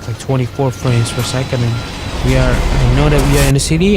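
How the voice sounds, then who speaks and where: A young man with a non-native English accent chats his way through a driving game, stumbling over restarts and fillers. His voice sits at an ordinary middle pitch for a man. Engine and traffic noise from the game runs clearly beneath him. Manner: rambling, many fillers and restarts. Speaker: gaming channel host. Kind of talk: casual gameplay commentary.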